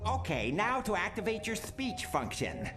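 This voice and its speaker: An actor playing a nerdy, fretful, well-meaning technician in a video game, fussy and hesitant. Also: male voice, high-pitched, very animated, moderate pace. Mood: concerned, fussy. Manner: fussy, hesitant, stammering tinkerer. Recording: very loud music behind the voice.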